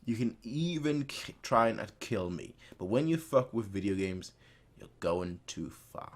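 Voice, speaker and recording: A man hosting a reaction video, commenting casually and excitedly, often repeating phrases for emphasis. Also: lowish voice, a little slow, husky.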